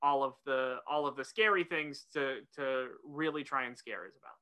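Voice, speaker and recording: A woman's voice: extremely low pitch, normal speed, fairly bright tone, smooth. A woman co-hosting a podcast in casual discussion, hesitant and often repeating words as the thought forms. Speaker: podcast co-host.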